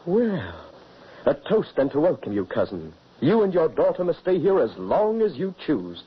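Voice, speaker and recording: Husky, hospitable huntsman, old radio. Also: on the high side, huge pitch swings, normal speed, heavily acted. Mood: hearty. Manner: earnest, dramatic storytelling delivery. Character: hospitable rugged huntsman father, fugitive in the mountains. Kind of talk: old-time radio horror drama acting. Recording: muffled old radio recording.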